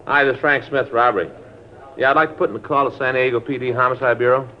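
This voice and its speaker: A man's voice: medium pitch, steady tone, quick pace, close to an everyday voice. A man acting an easygoing police detective partner in a 1950s radio drama, talking in a plain, informal, businesslike way. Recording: noisy, dull vintage radio recording.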